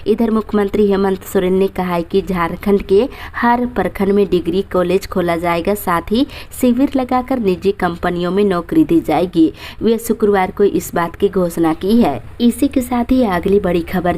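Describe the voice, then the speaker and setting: A woman news reader delivering scripted regional headlines in a formal, steady announcing manner. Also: mid-range voice, normal speed.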